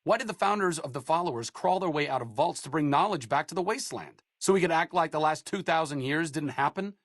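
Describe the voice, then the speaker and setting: A man's voice: on the high side, animated, quick pace, dry recording. An actor playing a wry, sardonic male doctor in a video game, with an articulate, bookish way of talking and dry sarcastic wit. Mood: earnest. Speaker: companion character in a video game. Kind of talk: game voice acting. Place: studio booth.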